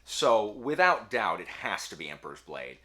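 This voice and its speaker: Guy doing a casual book video, super animated up and down, bright emphatic voice, pokes fun at himself. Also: lowish voice, normal speed. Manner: animated, self-deprecating, emphatic. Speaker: book review channel host. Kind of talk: casual talk to camera, answering book tag questions.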